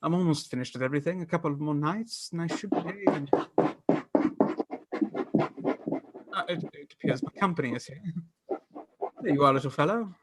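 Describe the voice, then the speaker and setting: A man acting in a radio play as a bookish, excitable young student with a theatrical, formal delivery. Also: a little high, quick pace, a little hiss or hum, close to an everyday voice, low-quality recording. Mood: weary, muttering.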